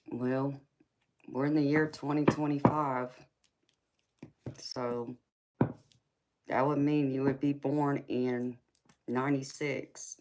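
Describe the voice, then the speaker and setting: A woman's voice: a bass voice, fairly even intonation, very slow, long pauses, a little mellow, poor audio. A woman, chatting casually with live-stream viewers, laughing often and calling them honey. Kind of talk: casual live-stream chat reading comments.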